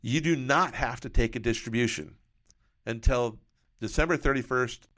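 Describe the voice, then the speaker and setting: A man's voice: medium pitch, normal speed, a bit bright. A man working as a financial advisor, explaining retirement tax rules through first-person hypothetical examples.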